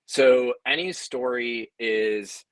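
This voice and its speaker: A man speaking as an audio drama creator in a conversational panel discussion, thinking aloud in a halting, thoughtful way with repeated words. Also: medium pitch, no echo, rough recording.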